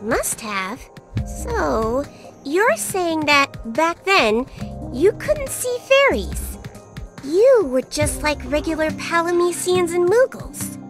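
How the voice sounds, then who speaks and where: Game fairy sidekick: very high, childlike, swooping pitch, cheeky, blunt, breezy teasing. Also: female voice, big pitch swings, slow pace, full character voice. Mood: casual, coy. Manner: breezy, teasing, casual wording with sharp mood swings. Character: tiny cheeky female fairy companion, blunt and flippant. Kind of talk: video game cutscene voice acting. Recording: music behind the voice.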